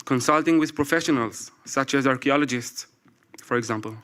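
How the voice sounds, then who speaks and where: A man in his forties with an Israeli accent, a native Hebrew speaker, reading a prepared talk from his phone to a live audience. He speaks carefully, with pauses and apologies. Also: mid-range voice, fairly bright tone. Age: 40s. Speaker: speaker at a public event. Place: event stage before a live audience.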